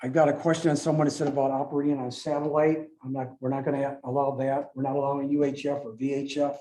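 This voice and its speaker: A man giving an informal explanatory presentation as a club speaker, in a halting manner with repeats and self-corrections. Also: medium pitch, level tone, warm tone, low-quality recording. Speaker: club presenter explaining radio contest rules. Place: club meeting room with a few people present.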